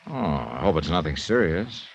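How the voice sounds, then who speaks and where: A man's voice: deep voice, sing-song, normal speed, slight background noise. A male actor with an American accent playing a cool, probing insurance investigator in a vintage radio noir drama. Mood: polite, curious. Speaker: lead actor of radio detective series. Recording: muffled vintage radio recording, music behind.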